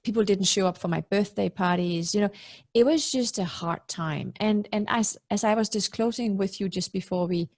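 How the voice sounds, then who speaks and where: A woman's voice: mid-range voice, normal speed, darkish tone, no room sound, studio quality. A woman in her forties who grew up in Denmark, a guest speaker in a web interview, talking in an effusive, personal way with many "you know" fillers. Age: 40s. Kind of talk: candid interview talk. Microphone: video call.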